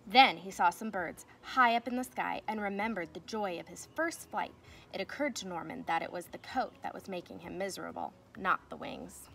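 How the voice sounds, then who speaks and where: A young woman reading a children's storybook aloud like a teacher, with expressive, emphatic delivery. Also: mid-range voice, normal speed, creaky. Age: young adult. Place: outdoors in a fenced backyard. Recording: noticeable outdoor ambient noise.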